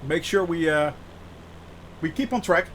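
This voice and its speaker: A man's voice: a little high, animated, unhurried, fairly bright tone, slight background noise. A man with a thick Dutch accent chatting casually on a live stream, hesitant with many restarts and frequent laughter. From Netherlands. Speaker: flight sim streamer.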